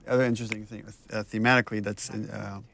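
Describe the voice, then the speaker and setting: A man's voice: fairly low pitch, little pitch movement, very fast, creaky, a bit bright, a little hiss or hum. A man speaking as a game writer and director, giving casual, conversational, analytical behind-the-scenes commentary.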